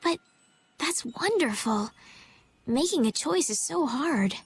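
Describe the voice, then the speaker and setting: A woman voicing a gentle, thoughtful young female healer in a video game, speaking softly, hesitantly and sincerely. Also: high-pitched, expressive, slow pace, slight background noise, heavily acted. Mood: reflective, sincere. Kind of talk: video game dub acting.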